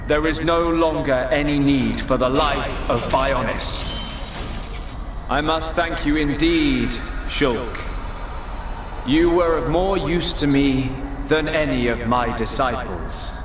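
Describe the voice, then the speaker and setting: A man voicing an arrogant creator god in a game cutscene, pompous and condescending in measured speech. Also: fairly high pitch, noisy background. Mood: lofty, contemptuous. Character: arrogant godlike creator, male, serene and contemptuous. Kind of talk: dramatic game cutscene acting. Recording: echoing voice under loud score.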